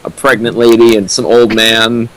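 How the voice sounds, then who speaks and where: A man's voice: mid-range voice, little pitch movement, normal speed, fairly bright tone, rough recording. A man giving casual, critical commentary as a co-commentator, with a dry manner.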